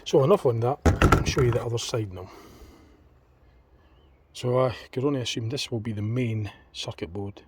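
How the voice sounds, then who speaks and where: A man thinking aloud in an unscripted hands-on demonstration, speaking in a hesitant, tentative, halting way. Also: mid-range voice, darkish tone. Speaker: hobbyist demonstrator in a homemade teardown video. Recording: distant mic, clearly noisy homemade recording.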